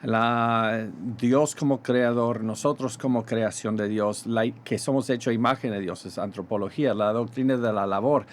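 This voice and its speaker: A middle-aged man speaks with an American accent softened by Mexican Spanish. He talks as a visiting pastor on a radio show, pausing with fillers and restarting phrases. His voice sits at an ordinary pitch over a faint hiss. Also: fairly even intonation. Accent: American with Mexican Spanish touches. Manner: halting, many fillers and restarts. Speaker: pastor, ministry guest.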